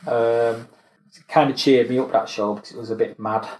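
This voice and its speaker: A man's voice: mid-range voice, steady tone, brisk, darkish tone. A man in casual chat about TV and film, often hesitating and repeating words as he thinks aloud. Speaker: podcast co-host. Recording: echoey, roomy sound.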